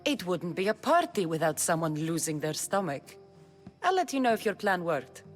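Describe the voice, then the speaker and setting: A tough mercenary warrior woman in a video game, dry and blunt. Her mid-pitched voice still moves with lively inflection, with faint music underneath. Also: normal speed. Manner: dry, blunt, matter-of-fact. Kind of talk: video game cutscene acting.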